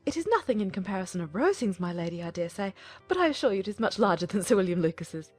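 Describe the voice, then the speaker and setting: A woman's voice: mid-range voice, big pitch swings, quick pace, a little mellow, faint music behind. A woman playing a gushing, eager-to-please middle-aged mother in an audiobook drama, chatty and fawningly polite. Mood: eager, flattering.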